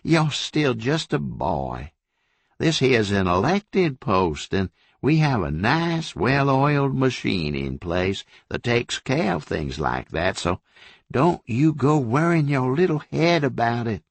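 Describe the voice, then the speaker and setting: A man's voice: medium pitch, big pitch swings, slow, gravelly, no echo, voice pushed up, heavily acted. A man doing a smug Southern sheriff with a lazy drawl. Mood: smug patronizing. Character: smug patronizing small-county male sheriff.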